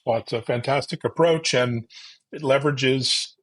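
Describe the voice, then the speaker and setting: A man's voice: medium pitch, moderate pace, a bit bright. A man hosting a tech interview show, introducing his guest and asking questions in an upbeat, effusive manner. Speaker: podcast host.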